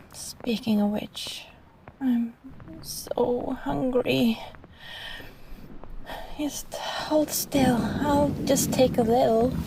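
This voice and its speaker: Woman acting a slow, high-voiced clingy catgirl vampire. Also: steady tone, gravelly, background noise, exaggerated voice. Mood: hushed, hungry, coaxing. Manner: soft, halting, pleading, breaking into sighs and small laughs. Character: clingy yandere female catgirl vampire, sweet but possessive. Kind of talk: intimate ASMR roleplay monologue.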